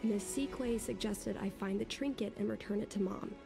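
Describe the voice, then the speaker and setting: A woman's voice: medium pitch, normal speed, creaky, natural voice. A woman voicing an earnest, rueful teenage girl who longs to fly, in a video game. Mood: thoughtful, worried. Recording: game dialogue under loud music.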